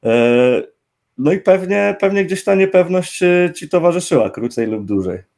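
A man's voice: sits high, expressive, normal speed, creaky, darkish tone. Young male host with long winding questions. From Poland. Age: young adult. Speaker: interview host. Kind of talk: casual interview questions. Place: indoor room with gaming chair. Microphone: headset on a video call.

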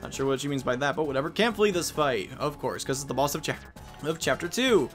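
A man's voice: fairly high pitch, animated, quick pace. A man giving casual, rambling, self-deprecating commentary on a video game. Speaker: let's player. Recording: game music behind.

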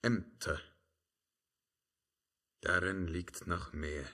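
Slow, declamatory tormented emperor. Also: male voice, low voice, fairly even intonation, pushed and bright, slightly rough, heavily acted. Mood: solemn, commanding. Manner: declamatory, slow, halting between grandeur and anguish. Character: tormented Byzantine emperor, solemn, commanding, haunted. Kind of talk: 1950s German radio verse drama acting.

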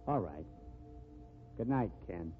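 A man's voice: low-pitched, lively intonation, slow, loud music behind the voice. An actor playing a disapproving, well-dressed society man in a 1950s radio drama, skeptical and indignant. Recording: tinny 1950s radio recording.

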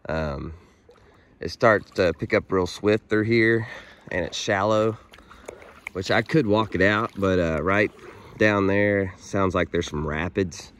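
A man in his thirties with a hoarse, froggy voice from a head cold, chatting casually as he fishes from a kayak on a creek, filmed by a pole-mounted camera. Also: deep voice, slow pace, slight background noise. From Arkansas. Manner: chatty and upbeat, excited while reeling in fish. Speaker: fishing vlogger. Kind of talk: casual outdoor vlog. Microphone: action camera on a pole mount.

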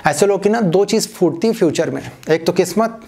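A young man doing a stand-up comedy set on a small stage, telling an animated story and acting out other people's voices. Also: high voice, brisk, creaky. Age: young adult. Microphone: handheld mic. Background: audience laughter.

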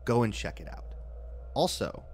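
A man's voice: moderate pace, darkish tone. A man announcing a promotion.

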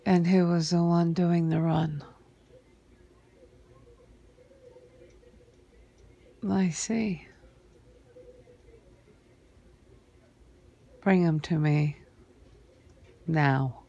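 A woman playing a cold, sardonic vampire crime boss in an ASMR roleplay, breathy and husky, often sinking into a whisper with a deliberate, menacing drawl. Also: on the low side, steady tone, mellow, muffled recording, heavily acted. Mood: cold, impatient. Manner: slow, deliberate, menacing drawl with long pauses.

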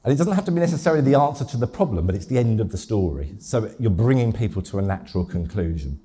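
Fifties guy talking marketing on a handheld mic, low dark husky voice, small crowd room. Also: fast talker. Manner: lively, chatty, repeats words as he goes. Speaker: conference speaker. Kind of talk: live marketing talk. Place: dim event venue with small audience.

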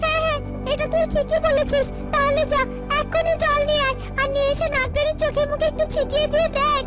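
A performer voicing a devoted, excitable young female serpent attendant in a fairytale cartoon, eager and repetitive. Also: extremely high pitch, fast talker. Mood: worried. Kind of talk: Bengali fairytale cartoon voice acting. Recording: loud music under the voice.

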